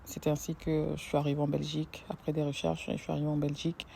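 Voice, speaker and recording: A young woman from Africa, a social work student, giving a hesitant personal testimony with many pauses and restarts. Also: sits low, monotone, gravelly, mellow, a little hiss or hum.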